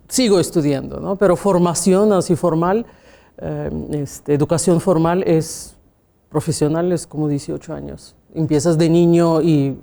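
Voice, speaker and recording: A woman's voice: deep voice, creaky, dark tone. A woman with a Russian accent, a pianist and music teacher, answering interview questions in a reflective way with small slips and questioning tags. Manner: reflective, often ending with a questioning tag, small grammar slips.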